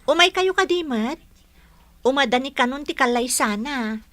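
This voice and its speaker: High-voiced, polite young Ilocano grandchild. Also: female voice, expressive, moderate pace, a bit bright, no echo. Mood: coaxing, polite. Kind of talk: Filipino Ilocano radio comedy drama acting.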